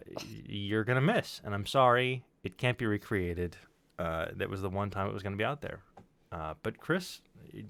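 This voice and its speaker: A man's voice: on the low side, fairly bright tone, no echo. A man hosting a casual live stream, chatting in a joking, self-deprecating way. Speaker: podcast stream host and sound designer.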